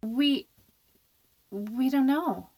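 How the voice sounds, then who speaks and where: Woman acting a jittery, high-voiced young roommate. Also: extremely slow, a little mellow, heavily acted. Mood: defensive, rattled. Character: jittery, snappish, stubborn young working woman. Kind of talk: radio horror drama acting.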